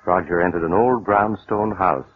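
Deep ominous radio mystery narrator. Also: male voice. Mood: knowing, suspenseful. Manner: deliberate, dramatic. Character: ominous male storyteller of a mystery thriller. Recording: old tinny radio recording with music.

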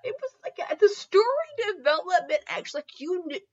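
A woman hosting a podcast with casual, humorous review chat, rambling and halting as she goes, often giggly. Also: very high-pitched, darkish tone, highs cut off. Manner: giggly, frequently breaking into laughter; rambling, halting self-interrupted delivery. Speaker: podcast host.

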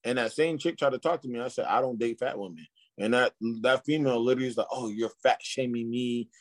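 A man's voice: medium pitch, fast talker, no room sound. A man who is a podcast guest, chatting casually and telling brash, boastful stories. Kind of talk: casual chat, telling anecdotes.

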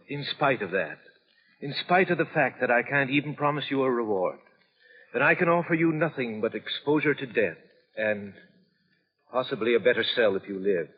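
An actor playing an earnest, steady prison officer in charge, speaking with measured authority in an old film drama. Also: male voice, mid-range voice, husky. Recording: muffled old film soundtrack, long echo.

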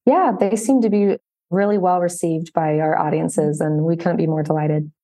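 Mellow, lower-pitched woman. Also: steady tone, moderate pace. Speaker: podcast guest, children's book co-author. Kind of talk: casual interview chat.

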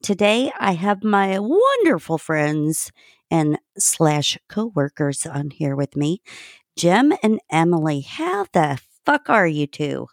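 A woman's voice: on the low side, very animated, takes it slowly, no room sound, clean studio sound. A woman hosting a casual podcast, chatty and giggly, swearing now and then. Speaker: podcast host.

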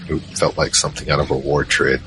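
An actor playing a huge, shaken survivor recounting a monster battle in a halting first-person audio drama narration. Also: male voice, flat delivery, noisy background. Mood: tense, shaken. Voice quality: very low, heavy voice. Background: music.

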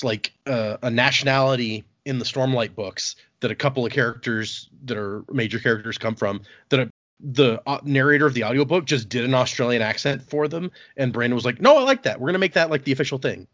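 Male podcast host, mid-pitched, loose and rambling, recorded dull and dry. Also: a little fast, a bit bright, no echo. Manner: rambling, restarts phrases, laughs often. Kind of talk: casual chat. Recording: dull, muffled podcast audio.

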